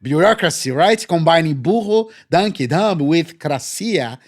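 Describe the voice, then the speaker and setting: A man's voice: on the high side, lively intonation, measured pace. A man whose first language is Portuguese, co-hosting a podcast in a playful, casual way and often affirming his partner. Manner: playful, punny, eager affirmations. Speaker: podcast co-host. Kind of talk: casual chat.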